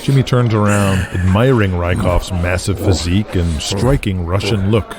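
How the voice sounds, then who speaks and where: A man's voice: sits low, moderate pace, crowd noise. A man narrating a scripted audio drama in a dramatic storytelling style.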